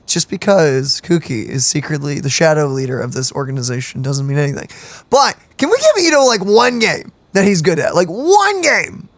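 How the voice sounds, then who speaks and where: A woman co-hosting a let's-play, talking excitedly and gushing about the game. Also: sits low, moderate pace, dry recording. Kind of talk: casual gaming commentary.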